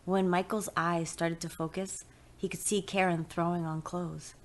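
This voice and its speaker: A woman narrates pulp fiction from a script. Her voice sits fairly low as she reads the prose of the story. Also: little pitch movement, normal speed.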